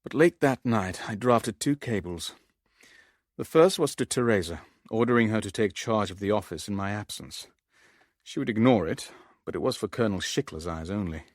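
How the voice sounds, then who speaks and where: An actor playing a weary, shrewd shipping owner in a radio drama, speaking in a measured, clipped and deliberate way. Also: male voice, lowish voice, expressive, dry recording, studio quality. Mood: grave, reflective. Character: weary, shrewd shipping owner narrating his own spy ordeal. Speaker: narrator and lead character. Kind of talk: radio drama, first-person narration and dialogue.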